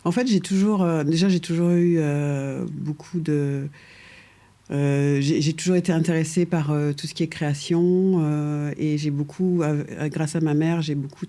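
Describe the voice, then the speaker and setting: A middle-aged woman with a mellow, darker tone speaks in an unhurried, conversational way. She pauses often on fillers and restarts her sentences while she searches for words. She laughs now and then as she explains her path. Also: low voice, steady tone. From France, raised in Paris from age two. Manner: hesitant, many fillers and restarts, laughs lightly. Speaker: guest architect. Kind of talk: casual conversational interview.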